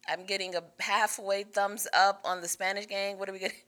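A woman's voice: mid-range voice, flat delivery, fairly quick, fairly bright tone. A young woman hosting a casual chat show, playful and teasing, talking to a live audience. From New York. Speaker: show host.

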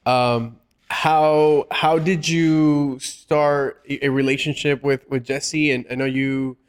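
A man hosting a casual interview, asking questions in a halting way and repeating words as he forms them. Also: mid-range voice, steady tone, clean tone. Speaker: interview host.

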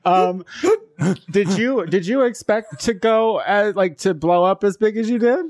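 A man's voice: sits high, unhurried, darkish tone. A man co-hosting a podcast in a playful, teasing way. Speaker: podcast co-host. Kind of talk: casual chat.

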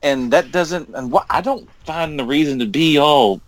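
A man's voice: a little high, normal speed, slight background noise. A man chatting casually as a podcast panelist, emphatic and often repeating himself. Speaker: podcast panelist and hobby gamer. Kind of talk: casual chat.

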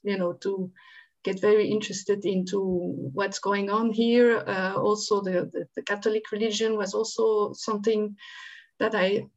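A woman's voice: medium pitch, flat delivery, moderate pace, lo-fi sound. A woman from Belgium, a psychiatrist answering interview questions thoughtfully, with many ums and restarts. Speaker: psychiatrist guest.

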